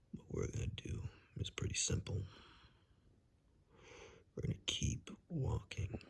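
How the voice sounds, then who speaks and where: A man playing a calm, wry forest ranger in a hushed ASMR roleplay, speaking slowly in a breathy, gravelly whisper with dry understatement. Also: a bass voice, full character voice. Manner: slow, hushed, dryly understated.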